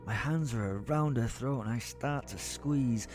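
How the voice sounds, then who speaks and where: A man's voice: mid-range voice, measured pace, a little mellow, faint music behind, lightly acted. A man playing a brooding, exasperated werewolf who tells his own story as theatrical first-person audio drama narration. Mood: seething. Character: brooding, foul-mouthed male werewolf, seething with helpless rage.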